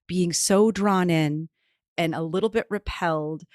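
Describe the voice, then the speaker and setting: A woman hosting a storytelling podcast, reflective and animated as she thinks aloud. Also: lowish voice, unhurried, dry recording, studio quality. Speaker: podcast host. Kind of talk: conversational interview.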